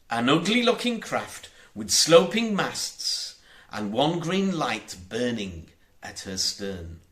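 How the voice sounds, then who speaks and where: A man reading a children's storybook aloud as an audiobook narrator, in a theatrical, expressive way. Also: mid-range voice. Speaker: audiobook reader.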